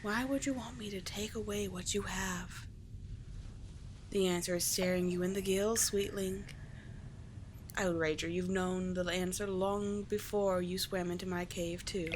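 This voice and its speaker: A woman playing a cunning, doting sea witch in an ASMR roleplay, breathy and measured, with coaxing, condescending endearments. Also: medium pitch, close to an everyday voice. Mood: coaxing, teasing. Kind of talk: ASMR roleplay, scripted monologue. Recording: clear steady background ambience noise.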